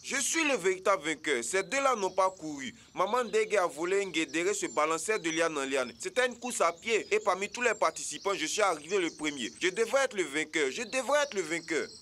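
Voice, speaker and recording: A boastful male animal from a children's cartoon. He argues with self-important insistence in a forceful voice that rises and falls a lot. There is faint noise behind him. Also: high-pitched, lively intonation, fairly quick, few pauses. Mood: indignant, boastful.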